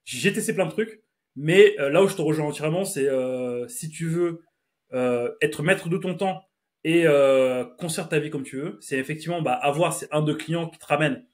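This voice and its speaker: A man's voice: on the high side, moderate pace. A man in his thirties hosting a podcast, chatty and slangy, often laughing. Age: 30s. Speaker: podcast host. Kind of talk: casual podcast chat.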